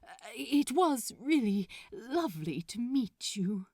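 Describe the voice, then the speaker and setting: A gentle, bookish man from a romantic story, acted out by a woman. He is polite and easily flustered, speaking in breathy, hesitant phrases that swing up and down in pitch. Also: big pitch swings, slow, reverberant.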